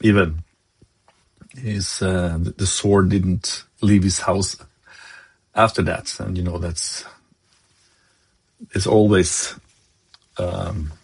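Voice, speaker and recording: Slow, husky Norwegian-accented man preaching. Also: sits low, steady tone. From Norway. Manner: halting, searching for words, many fillers. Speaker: lay preacher. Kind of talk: devotional sermon.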